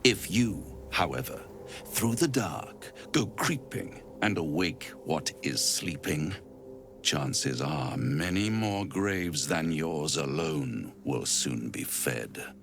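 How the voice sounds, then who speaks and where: Very deep, silky theatrical devil. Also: male voice, animated, slow, creaky, fairly bright tone, a little hiss or hum, heavily acted. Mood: sly, theatrical. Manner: theatrical, silky, verse-quoting, grandiloquent. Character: urbane, scheming male devil, theatrical and menacing. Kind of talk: video game dramatic acting.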